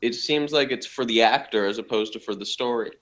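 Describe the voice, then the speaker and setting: A man's voice: medium pitch, fairly quick, a bit bright. A man giving a blunt, dismissive review in casual conversation. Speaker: podcast reviewer. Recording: clearly noisy background.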